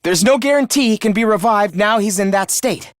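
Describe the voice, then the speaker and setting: A man's voice: sits high, brisk, no room sound, studio quality, lightly acted. A man playing a young student in a video game, earnest on the surface and a cold, misanthropic killer beneath, theatrical and articulate. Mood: earnest, concerned. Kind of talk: dramatic anime-style video game voice acting.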